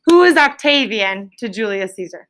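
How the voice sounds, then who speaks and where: Woman, schoolteacher, higher-pitched, bright and forceful, instructive classroom read-aloud, prompting questions. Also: lively intonation, normal speed. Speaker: elementary school teacher. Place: classroom with pupils answering.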